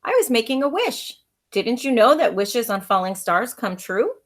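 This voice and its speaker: A woman's voice: on the high side, big pitch swings, moderate pace, a bit bright. A woman reading the part of a loving old grandmother in a storybook, tender and earnest. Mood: eager, warm. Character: loving old grandmother, gentle, devout and wise.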